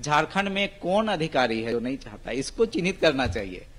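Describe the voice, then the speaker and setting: A man's voice: mid-range voice, big pitch swings, a little fast, a bit bright. A man giving his opinions as a panel guest, earnest and philosophical. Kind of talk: opinionated political discussion.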